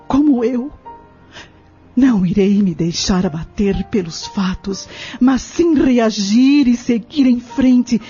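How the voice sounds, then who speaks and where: A woman's voice: a little high, dark tone, slightly rough. A woman playing a long-suffering middle-aged wife resolved to break free, speaking formally and solemnly in an audio novel. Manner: solemn, formal, literary phrasing. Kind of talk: dramatic audio novel acting. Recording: dull lo-fi audio with music behind. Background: music.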